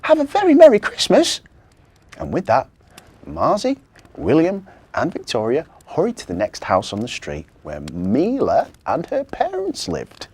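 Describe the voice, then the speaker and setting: Children's story narrator: hugely varied intonation, breathy husky edge, expressive reading. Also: mid-range voice, unhurried.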